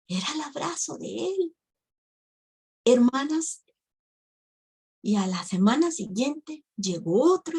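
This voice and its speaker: Husky woman giving testimony. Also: on the high side, warm tone. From Costa Rica. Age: 50s. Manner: emotional storytelling, addresses the audience as sisters. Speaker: speaker at a women's ministry. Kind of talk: religious testimony.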